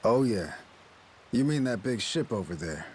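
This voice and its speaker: A man's voice: on the low side, very animated, measured pace, darkish tone, slight background noise. A man voicing an easygoing islander in a video game dub, casual and chatty. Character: easygoing male islander who gives boat lifts.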